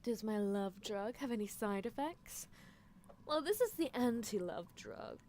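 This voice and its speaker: A woman's voice: medium pitch, lively intonation, unhurried, a little mellow, slight background noise, natural voice. A woman voicing an obsessive mad scientist who coaxes and condescends to a captive love interest in an ASMR roleplay, with menacing affection. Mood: clinical, possessive.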